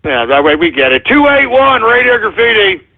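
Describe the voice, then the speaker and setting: A man hosting a call-in radio show, brash and excitable, hurling insults at callers and often yelling. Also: extremely high pitch, normal speed, gravelly. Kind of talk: loud ranting call-in banter. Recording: tinny lo-fi stream audio.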